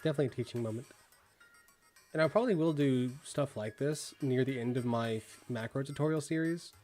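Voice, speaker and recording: A man's voice: medium pitch, brisk, a little mellow, faint music behind. A young man with a Midwestern accent, chatting casually on a stream and rambling with lots of fillers. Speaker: streamer reviewing viewer work. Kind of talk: casual live-stream chat.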